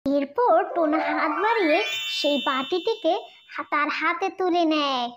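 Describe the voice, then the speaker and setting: Very high-pitched woman storyteller. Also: expressive, measured pace, over music. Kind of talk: scripted children's fairy tale narration.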